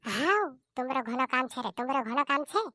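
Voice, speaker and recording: A high, dry-sounding voice of a woman acting as a bickering villager in a cartoon comedy. The character scolds and argues, going over the same phrases again and again. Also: expressive, quick pace, pushed and bright, no room sound. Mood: scolding.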